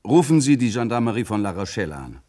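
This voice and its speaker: An actor playing a calm, authoritative middle-aged police commissioner in a radio crime play, terse and probing. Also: male voice, on the low side, quick pace. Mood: firm, businesslike. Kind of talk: German radio play acting.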